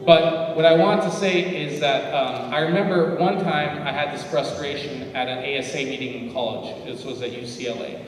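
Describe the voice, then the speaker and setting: A man's voice: fairly high pitch, normal speed, few pauses, distant mic. Man speaking in an echoey hall. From United States. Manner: lively, anecdotal, addresses the audience directly. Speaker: forum panelist. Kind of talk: storytelling talk to an audience. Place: large hall at a community forum.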